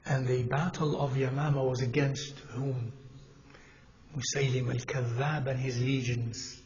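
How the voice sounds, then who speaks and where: A man gives an Islamic lecture to a congregation in a mosque hall. His delivery is measured and halting, with pauses, restarts and questions put to the room. The recording is slightly dull with a faint hiss behind his voice. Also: mid-range voice, little pitch movement, darkish tone. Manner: asks the audience questions as he teaches. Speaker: mosque teacher.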